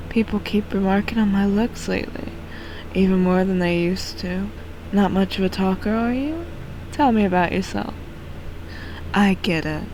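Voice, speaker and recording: A woman's voice: mid-range voice, darkish tone, slight background noise, natural voice, rough recording. Woman playing a seductive, coaxing game beauty. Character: flirtatious, confident beauty, coaxing and intimate. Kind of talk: amateur game character voicing. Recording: music under the voice.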